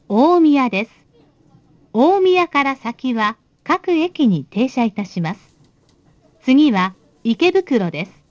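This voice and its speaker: A woman giving calm, polite recorded announcements for a train. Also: high-pitched, dry recording. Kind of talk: recorded on-board station announcements. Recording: dull recording from inside a train.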